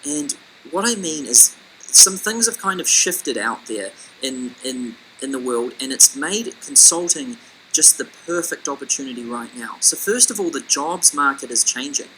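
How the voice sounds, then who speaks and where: Upbeat young New Zealand man, bright voice. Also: lively intonation, moderate pace, slight background noise, low-quality recording. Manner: upbeat, pitchy, addresses viewers as guys. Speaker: business coach. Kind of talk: presenting an online course.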